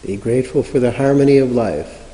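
Guy doing guided prayer meditation, soft dark tone, measured w long pauses, lots of reverb. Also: medium pitch. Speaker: meditation leader. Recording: reverberant hall sound.

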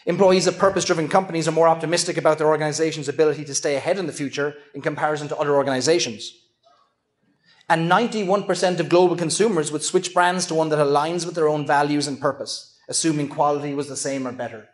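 A middle-aged man giving a conference keynote, earnest and measured, with self-deprecating asides. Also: on the high side, fairly even intonation. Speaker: keynote speaker. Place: large event hall with an audience. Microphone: handheld microphone at a lectern. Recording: echoey hall.

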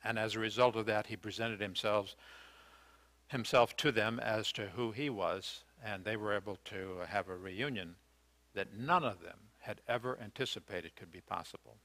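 A man's voice: fairly low pitch, normal speed, a bit bright. An older American man preaching a sermon in a church, earnest and questioning, with hesitant fillers and restarts. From United States. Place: church sanctuary, indoors.

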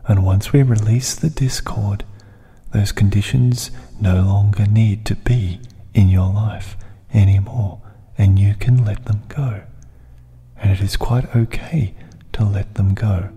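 A man softly narrating a hypnotic guided meditation in a soothing, deliberate way, with breathy phrases and long pauses. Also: lowish voice, fairly even intonation. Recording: long reverb, music bed underneath. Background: music.

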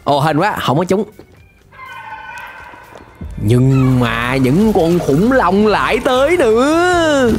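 A young man with a Southern Vietnamese accent hosting a reaction video with excited, exclamatory commentary. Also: sits high, slow pace, pauses often. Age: young adult. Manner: exclamatory, animated. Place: indoor room, gaming setup. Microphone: close desk microphone. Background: music and cartoon soundtrack.

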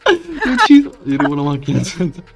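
Excitable man gaming, swinging pitch. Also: creaky, over music. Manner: excitable, repeats phrases rapidly. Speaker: gamer playing a roleplay game with a friend. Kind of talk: excited casual gaming chat.

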